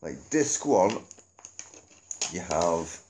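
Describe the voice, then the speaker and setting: A man's voice: low-pitched, sing-song, drawn-out words, darkish tone, slight background noise. A middle-aged British man chatting casually and ramblingly about things, with colloquial, sweary speech and frequent chuckles. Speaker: amateur DVD reviewer. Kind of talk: casual rambling chat to camera.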